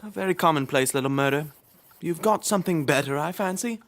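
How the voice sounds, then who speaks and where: An actor playing a brisk, eccentric gentleman detective in an audio drama, with a theatrical, self-assured delivery. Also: a little high, natural voice. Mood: brisk, wry.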